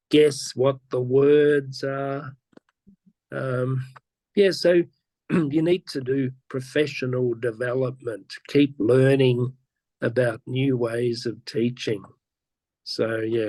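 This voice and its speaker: A male teacher talks slowly and patiently over a video call, circling back and repeating himself as he explains. The sound is dry and close, with no room around it. His tone is casual and easygoing. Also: mid-range voice, warm tone, no room sound. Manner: patient, slow, with frequent restarts and repeats. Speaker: teacher being interviewed. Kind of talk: casual explaining in an online interview.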